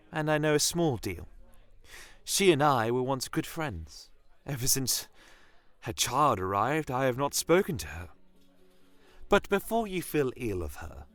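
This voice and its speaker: A man voicing a gentle, earnest village woman with a calm, measured way of talking, in an audio fantasy tale. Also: medium pitch, pitched above the performer's own voice. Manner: calm, soft-spoken, measured.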